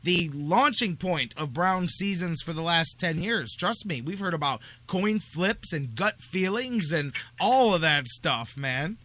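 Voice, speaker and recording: An American man hosting sports talk, making blunt, opinionated points in a colloquial, forceful style. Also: a little high, expressive, unhurried, hardly any pauses, no room sound. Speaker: sports talk radio host.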